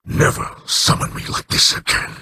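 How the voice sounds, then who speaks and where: An actor playing a stern, threatening alien male warrior in a video game, with a deep gravelly voice. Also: moderate pace, pushed and bright, a little hiss or hum. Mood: menacing.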